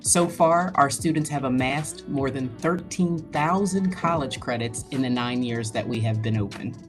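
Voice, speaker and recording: A woman's voice: rumbling low, normal speed. A woman who heads a school, giving a proud, upbeat scripted video message about her school. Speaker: head of school. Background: background music.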